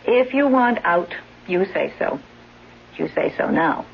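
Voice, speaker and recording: An actress playing a scheming caregiver and secret lover in a 1970s radio drama, brisk, sardonic and hard-edged. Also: female voice, lowish voice, expressive, gravelly. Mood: hard, resentful. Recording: dull, noisy, humming vintage radio recording.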